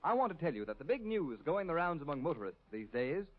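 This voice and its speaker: A man announcing in vintage radio style, booming and salesy. His voice sits a bit high and swings widely in pitch. The recording sounds muffled and old, with faint music underneath. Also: sing-song, fast talker.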